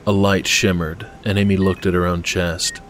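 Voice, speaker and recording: A man's voice: deep voice, little pitch movement, moderate pace, a little hiss or hum. A man reading scripted fiction as an audiobook narrator.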